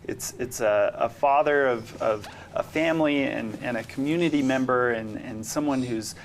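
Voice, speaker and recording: Middle aged guy in a studio interview, thoughtful, stops and restarts a lot, medium pitch, faint noise. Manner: halting, thoughtful, repeats words. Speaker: interview guest, amateur actor. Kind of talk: casual interview answer.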